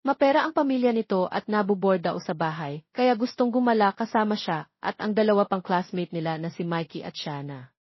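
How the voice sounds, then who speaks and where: A woman's voice: medium pitch, expressive, brisk, breathy edge, no room sound, highs cut off. A woman narrating a romance story from a script, as a storyteller.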